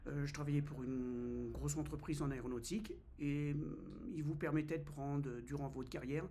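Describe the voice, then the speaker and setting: A man's voice: a little high, fairly even intonation, moderate pace, mellow, slight background noise. A man in his fifties from France, vlogging in a casual, hesitant way with many fillers and restarts. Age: 50s. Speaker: travel vlogger. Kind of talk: casual first-person vlog.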